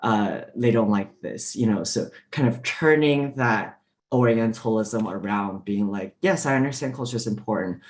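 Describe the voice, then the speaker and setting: Low-voiced man speaking casually on a panel. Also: moderate pace. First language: Mandarin. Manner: relaxed, many fillers and restarts. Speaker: panelist, diplomat.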